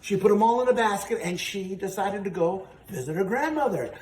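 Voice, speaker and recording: A man's voice: high-pitched, lively intonation, a little fast, darkish tone. A man hosting a children's storytime as a ventriloquist, narrating and explaining in a folksy, patient way. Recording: echoey room, distant mic, faint noise.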